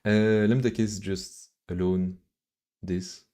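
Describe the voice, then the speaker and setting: A man with a French accent and a very low voice, casually commenting on his own coding. He takes his time, muttering hesitantly to himself, and his tone is dark and mellow. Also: fairly even intonation, takes it slowly. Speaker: live-streaming programmer.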